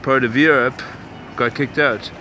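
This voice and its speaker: A man's voice: mid-range voice, fairly even intonation, measured pace, pushed and bright. A young man from Canada hosting a casual walking vlog, musing aloud with many fillers and restarts. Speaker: vlog host. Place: outdoors on city streets. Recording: outdoor street noise.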